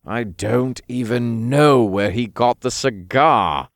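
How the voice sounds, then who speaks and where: A man voicing a tired male archangel who speaks in a slow, sighing, exasperated way. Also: mid-range voice, dry recording, clean studio sound. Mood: weary.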